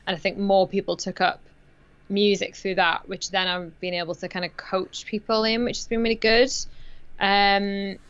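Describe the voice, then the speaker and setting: A woman's voice: medium pitch, flat delivery, normal speed, a bit bright, smooth, dry recording. A woman in her thirties talking in a friendly, casual way as an interview guest over a video call, with lots of fillers. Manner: friendly, laughs easily, many fillers like "like" and "obviously". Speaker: music PR guest. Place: home room. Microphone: headset, video call. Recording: dull lo-fi video call audio.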